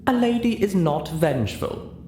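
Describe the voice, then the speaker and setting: A man's voice: high-pitched, lively intonation, moderate pace, a little mellow, voice pushed up. A man voicing an elegant, refined female unicorn, controlled and politely disdainful, in an audiobook story. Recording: roomy echo, clear background hiss.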